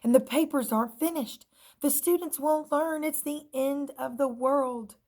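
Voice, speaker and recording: A woman's voice: high-pitched, measured pace, darkish tone, voice pushed up. A woman voicing a panicky pencil who wails in alarm. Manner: wailing, alarmed.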